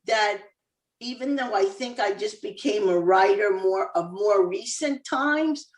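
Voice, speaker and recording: A woman's voice: medium pitch, unhurried, distant mic. Chatty woman from Cuba, echoey room. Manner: chatty, laughs easily, many fillers. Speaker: author and former dentist, guest. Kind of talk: casual interview chat.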